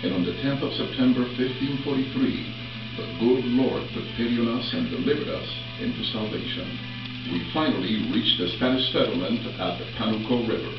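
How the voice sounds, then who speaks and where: A man's voice: mid-range voice, a little fast, close to an everyday voice. Man playing a weary conquistador survivor, solemn first-person narration, very echoey, distant, music behind. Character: weary Spanish conquistador survivor recounting the expedition. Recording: roomy, distant, dull film audio with music.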